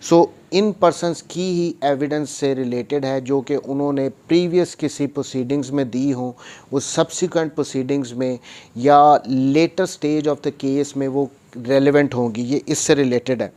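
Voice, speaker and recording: A male lecturer speaks at an average pitch and a slow, measured pace. He explains law in a teaching style, weaving English terms and phrases into his sentences. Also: steady tone, warm tone. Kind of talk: explanatory law lesson, reading statute then explaining with examples.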